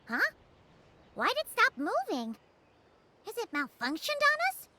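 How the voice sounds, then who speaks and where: Tiny squeaky companion creature. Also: female voice, heavy background noise, exaggerated voice. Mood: puzzled. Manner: excitable, curious, quick exclamations. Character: tiny chirpy floating female companion creature. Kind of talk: video game voice acting. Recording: game audio with music behind. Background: game music.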